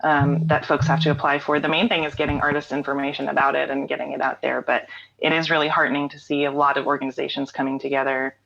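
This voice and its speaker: Low-voiced woman, muffled remote audio. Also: little pitch movement, fast talker, vocal fry, fairly bright tone. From North Carolina. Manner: reflective, candid, frequent ums and restarts. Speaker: interview guest, singer-songwriter. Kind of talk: casual conversational interview.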